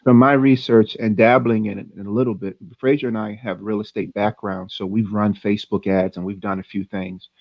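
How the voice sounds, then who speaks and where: A man with a lowish voice co-hosts a casual podcast, asking long, rambling questions in an easygoing, chatty way. The sound is dry and a bit dull, like a lo-fi recording. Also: steady tone, fairly quick, few pauses, no echo. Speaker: podcast co-host. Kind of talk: casual interview hosting.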